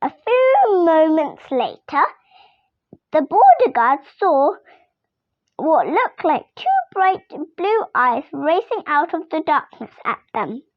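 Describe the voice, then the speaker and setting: A young girl reads a children's story aloud with a very high voice and hugely lively intonation. She takes her time over each phrase like a storytime host. The recording is a little dull. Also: dry recording. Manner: expressive, deliberate storytelling with acted dialogue.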